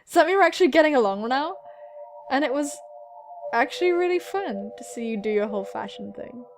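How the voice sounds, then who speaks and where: A woman's voice: high-pitched, animated, a little fast, faint music behind. A woman voicing an earnest, awkward young woman from a visual novel, hesitant and sincere. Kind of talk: visual novel dialogue read aloud.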